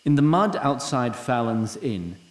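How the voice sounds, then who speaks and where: A man's voice: mid-range voice, expressive, unhurried, echoey room, natural voice. A man playing a haughty immortal storyteller, narrating a fantasy audio drama in a droll, formal, arch manner. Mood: measured, wry. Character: wry, lofty immortal storyteller, haughty toward mortals.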